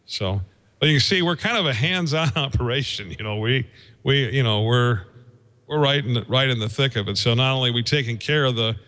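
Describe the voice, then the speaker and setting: A man giving an informal technical explanation as a guest engineer, in a halting, folksy way, thinking aloud as he goes. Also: mid-range voice, bright, forceful tone. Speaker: guest, radio station engineer.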